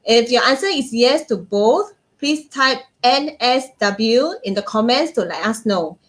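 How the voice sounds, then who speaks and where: An upbeat woman co-hosts a travel livestream in a slightly high voice. She reads out announcements and place names brightly. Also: animated, measured pace. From Singapore. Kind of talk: casual live-stream chat.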